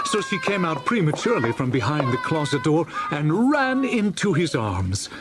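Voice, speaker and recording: Male audiobook narrator for a classic tale: theatrical and expressive, wide pitch swings, slightly high register. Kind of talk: dramatic scripted storybook narration.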